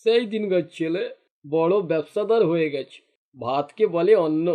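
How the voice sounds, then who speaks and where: A man's voice: fairly high pitch, expressive, normal speed, dark tone, lightly acted. A man voicing a jealous, greedy fisherman in a cartoon, grumbling and indignant in his delivery. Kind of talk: cartoon dialogue acting.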